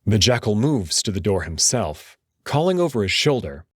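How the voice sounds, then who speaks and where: A man's voice: on the low side, big pitch swings, moderate pace, husky, no room sound, clean studio sound. A man playing an uneasy young student who narrates a visual novel in the first person, introspective and hesitant, acting out his own dialogue. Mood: brooding, subdued.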